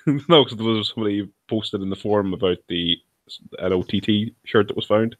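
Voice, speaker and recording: A man co-hosting a podcast, chatty and quick to react. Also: fairly low pitch, dry recording. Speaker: podcast co-host. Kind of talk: casual chat.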